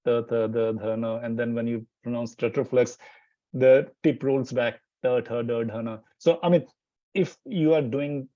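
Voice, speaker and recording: A man's voice: mid-range voice, fairly even intonation, moderate pace, dry recording, highs cut off. A man speaking as an interview guest, rambling with many restarts and fillers. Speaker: Hindi teacher, interview guest. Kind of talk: casual interview chat.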